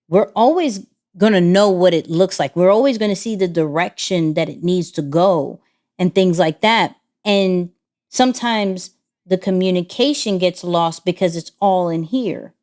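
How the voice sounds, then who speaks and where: A young adult woman co-hosting a podcast in casual chat, animated, with her voice jumping high when she gets excited. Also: a little slow. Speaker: podcast co-host.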